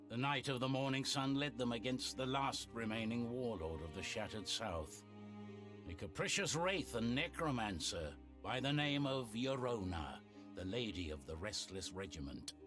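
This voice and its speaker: Theatrical male narrator, an actor for a game saga: knowing, wry, lively intonation. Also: medium pitch, normal speed, close to an everyday voice. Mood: wry, ominous. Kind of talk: scripted narration for a fantasy game. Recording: dramatic music behind.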